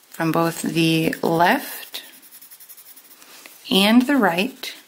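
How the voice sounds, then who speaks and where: Slow, deliberate woman teacher. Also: medium pitch. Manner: deliberate, instructional, with stressed key words. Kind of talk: step-by-step lesson with pauses for note-taking.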